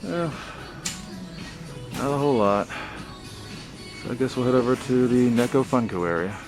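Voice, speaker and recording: A man's voice: mid-range voice, moderate pace. A man giving casual commentary as a vlog host, muttering to himself while browsing in a store. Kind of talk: casual toy hunt commentary. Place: inside a retail store aisle. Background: music.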